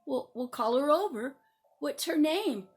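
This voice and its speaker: Woman as a slow, patient, soothing teacher. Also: sits high, expressive. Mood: guiding. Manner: slow, gentle, deliberate coaching. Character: patient, soothing adult teacher guiding upset child. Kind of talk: children's role-play story.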